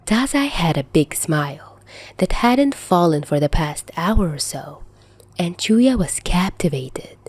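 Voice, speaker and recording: A woman's voice: fairly low pitch, warm tone, no echo. A woman reading fiction aloud in an audiobook narration style. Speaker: podfic narrator.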